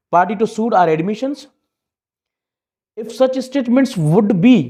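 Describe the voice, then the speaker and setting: A man giving an explanatory law lecture, mixing two languages and deliberately repeating phrases as he explains. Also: on the high side, darkish tone. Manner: code-switches between Hindi and English. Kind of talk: explanatory lecture with examples, reading statutes aloud.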